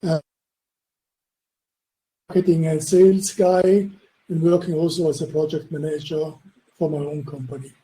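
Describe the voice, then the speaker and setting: A man introduces himself over a video call in halting phrases, full of uh fillers. His voice sits fairly high for a man but sounds very dark and mellow. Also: level tone, poor audio. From Italy. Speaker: panel guest.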